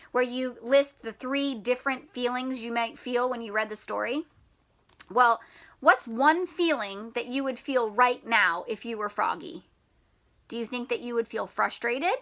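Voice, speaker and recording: A woman teacher reading a story aloud to children in an animated, encouraging way, often asking them questions. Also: fairly high pitch.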